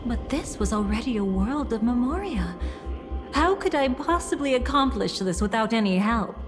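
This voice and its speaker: A woman's voice: fairly high pitch, expressive, normal speed, close to an everyday voice. An actress voicing a scheming, theatrical young woman in a video game, playful and mocking, with music underneath. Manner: playful, mocking, dramatic swings in tone.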